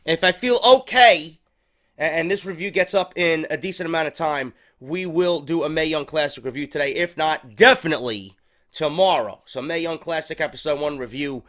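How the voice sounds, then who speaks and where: A man's voice: fairly high pitch, moderate pace, a bit bright. A man with a stuffy head cold hosting a casual wrestling review show, rambling and swearing freely. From New York. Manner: profane, rambling, unscripted.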